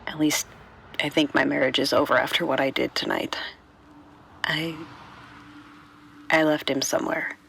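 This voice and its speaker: A woman's voice: low-pitched, steady tone, normal speed, creaky, long pauses, a bit bright, slight background noise. A woman playing a bitter, guarded bank robber and mother, speaking conversationally in an audio drama monologue. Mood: resigned, sardonic. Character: tough female bank robber, bitter mother, wryly sardonic.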